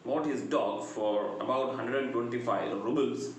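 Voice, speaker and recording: A middle-aged man with a South Indian accent giving a lecture, retelling a story deliberately with pauses between phrases. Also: mid-range voice. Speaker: English lecturer. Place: small bright indoor room. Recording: very echoey room, faint background noise.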